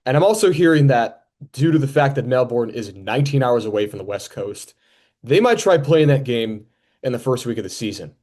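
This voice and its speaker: A man's voice: medium pitch, lively intonation, fairly quick, darkish tone. Young man hosting a sports podcast. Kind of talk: casual sports talk.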